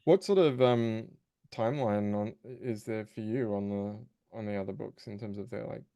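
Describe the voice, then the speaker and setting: Low, creaky-voiced man, close and dry. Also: normal speed, mellow, dry recording. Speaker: voice actor, guest. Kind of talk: casual conversation.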